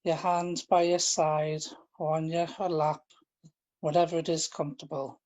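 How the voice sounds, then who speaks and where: A man leading a guided relaxation, speaking in a gentle, informal and halting way, often repeating his phrases. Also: fairly high pitch, level tone, dull audio. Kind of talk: guided relaxation, meditation instruction.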